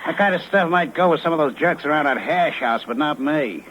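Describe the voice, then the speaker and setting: An actor playing a menacing, swaggering small-time crook with slangy, taunting speech in a 1950s radio crime drama. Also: male voice, fairly high pitch, expressive, brisk, fairly bright tone. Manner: slangy, taunting tough-guy delivery. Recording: dull, noisy old radio recording.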